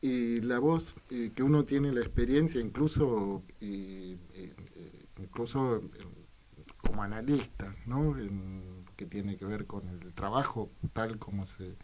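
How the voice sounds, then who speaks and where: A man co-hosting a casual radio talk show, speaking reflectively and hesitantly with many pauses and fillers. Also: on the low side, a little mellow, slight background noise, rough recording.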